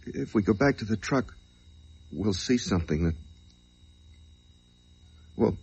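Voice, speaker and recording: An actor playing a gentle, troubled man in an old radio drama, speaking with hesitant, tender, colloquial delivery. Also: fairly low pitch, lively intonation, takes it slowly, gravelly, slightly rough, full character voice. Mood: grave, tender. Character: gentle, troubled man breaking grim news. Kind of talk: 1940s radio drama acting. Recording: muffled old radio recording.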